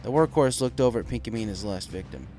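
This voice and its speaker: A man's voice: medium pitch, expressive, quick pace, warm tone, soft background music. A man giving scripted narration of a dramatic story as its narrator.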